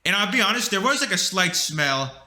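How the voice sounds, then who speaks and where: A man's voice: high-pitched, a little fast. A young man co-hosting a casual podcast with his brother, rambling with lots of fillers. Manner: rambling, filler-heavy, animated. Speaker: podcast co-host. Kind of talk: casual chat. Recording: echoey room.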